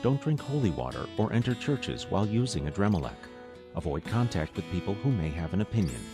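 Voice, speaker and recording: A man playing a deadpan announcer in a parody drug commercial, reading straight-faced over guitar music. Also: low-pitched, fairly quick. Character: deadpan male pitchman for an absurd medication. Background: plucked guitar music.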